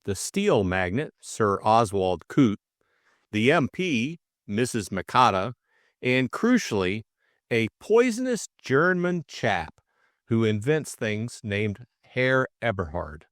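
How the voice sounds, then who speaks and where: A man narrates an audiobook from a script. His pitch moves in a lively, expressive way across each sentence. The recording is very dry and close, with little room sound. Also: slow pace, no echo.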